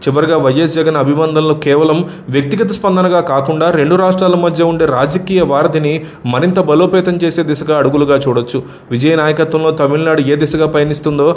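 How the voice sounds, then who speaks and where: A man's voice: fairly high pitch, a little mellow. A man from or reads a scripted news commentary as a voice-over narrator.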